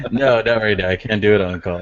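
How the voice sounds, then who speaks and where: A man's voice: on the low side, dull audio, background noise. A man co-hosting a casual web show, teasing his co-host in dry banter over a video call.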